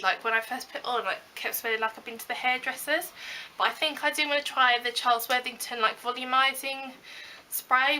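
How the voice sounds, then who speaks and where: A young British woman talks through her beauty haul in a loose, rambling way, full of ums and likes. Her voice sits high and bright, though a cold leaves it a little croaky. A faint hiss hangs behind her. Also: low-quality recording. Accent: British English. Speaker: beauty vlogger. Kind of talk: casual haul video chat.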